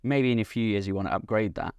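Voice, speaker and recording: A man speaking as a company representative in an interview, giving measured, unscripted technical explanations of a product. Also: lowish voice, a little mellow, no room sound, lo-fi sound. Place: at a hi-fi trade show.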